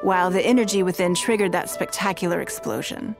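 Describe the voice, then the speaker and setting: A woman voicing a calm, shrewd, wealthy noblewoman in a game, speaking in a measured, commanding way, with music behind her. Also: on the low side, gravelly. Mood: reflective. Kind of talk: game dialogue voice acting.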